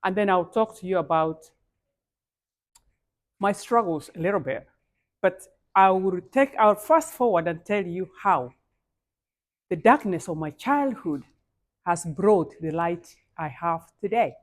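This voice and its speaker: A woman's voice: fairly low pitch, lively intonation, unhurried, long pauses. A woman with a Kenyan accent speaking as a guest at a live event, in an emphatic style that addresses the audience directly and repeats key phrases. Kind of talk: motivational speech.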